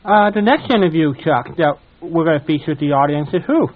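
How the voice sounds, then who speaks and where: A man's voice: on the high side, expressive, fairly quick. A man hosting a radio show, asking casual interview questions with slightly hesitant phrasing. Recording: thin phone-like radio sound.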